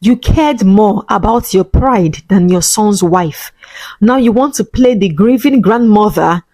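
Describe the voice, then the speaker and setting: Story heroine: exhausted young mother, firm, reproachful, mid-pitched, played by a female narrator. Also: a little slow, dark tone, dry recording, close to an everyday voice, low-quality recording. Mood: stern.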